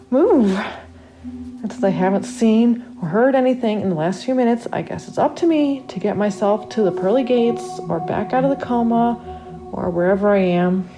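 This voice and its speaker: A woman's voice: mid-range voice, lightly acted, low-quality recording. A woman reading a first-person horror story as a trapped accident victim, in a dramatic, confiding inner monologue. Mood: uneasy, searching. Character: trapped narrator waking after accident, wry then panicked. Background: original music.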